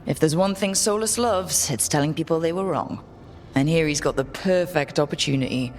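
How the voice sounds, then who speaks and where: A woman playing a wry, quick-witted investigator companion, conversational, in fantasy game dialogue. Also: sits low, a little mellow, a little hiss or hum, natural voice. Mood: wry, reasoning.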